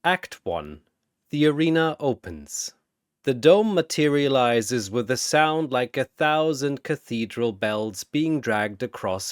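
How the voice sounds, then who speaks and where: A man delivers scripted story narration with theatrical weight. He takes his time, and his pitch moves widely for drama. The sound is very dry, with no room around the voice. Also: dry recording. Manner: portentous, theatrical.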